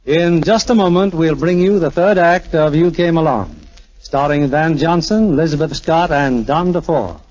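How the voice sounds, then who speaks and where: A man's voice: a little high, normal speed, a little mellow, faint music behind. A man hosting a 1940s radio show in a scripted presenting style. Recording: dull old radio broadcast.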